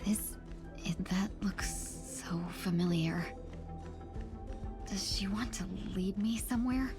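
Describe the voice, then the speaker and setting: An actress voicing a lost, timid young woman with amnesia in a video game, soft, breathy and hesitant, often near a whisper. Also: medium pitch, lightly acted. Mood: dazed, wondering. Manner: hesitant, halting, hushed. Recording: game audio under music. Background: game music.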